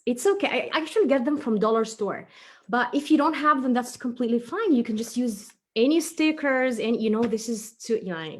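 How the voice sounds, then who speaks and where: A woman presenting a craft for young children, talking casually and encouragingly as she thinks aloud through each step. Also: fairly high pitch. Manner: chatty, encouraging, thinking aloud while working with hands. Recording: faint background noise, small clicks.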